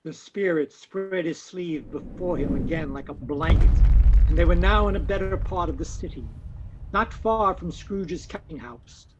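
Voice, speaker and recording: Man as high-voiced, matter-of-fact storyteller. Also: normal speed, lightly acted. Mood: storytelling. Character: storyteller narrator and casually indifferent city businessman. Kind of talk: scripted story narration and dialogue. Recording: dull audio-play recording, faint noise.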